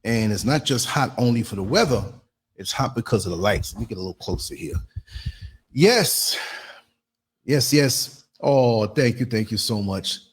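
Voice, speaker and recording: Husky-voiced man in his late fifties. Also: mid-range voice. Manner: emphatic, repeats phrases for stress. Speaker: livestream host and podcaster. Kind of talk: informal motivational monologue to livestream chat.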